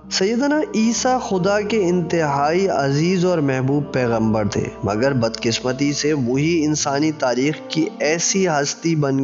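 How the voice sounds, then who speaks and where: A man's voice: fairly high pitch, darkish tone. A man reading a religious novel as scripted narration, with a formal literary delivery. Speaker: audiobook narrator. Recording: dull lo-fi recording with music behind. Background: background music.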